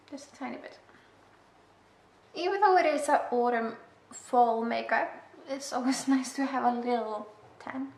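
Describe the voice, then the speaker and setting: A woman's voice: fairly high pitch, vocal fry. A young woman with an Icelandic accent, English her second language, talking casually through a makeup tutorial with chatty, self-deprecating asides. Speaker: makeup vlogger. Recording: echoey room with faint hiss.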